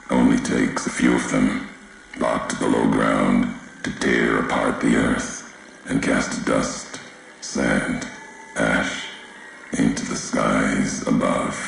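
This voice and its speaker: Brooding male game wanderer, grim and cryptic, very heavy vocal fry, unhurried clipped delivery. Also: extremely low pitch, heavy reverb, slight background noise, exaggerated voice, poor audio. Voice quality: gravelly. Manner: cryptic, deliberate, clipped fragmentary phrases. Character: grim, brooding wasteland wanderer, solemn and judging. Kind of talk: video game character dialogue.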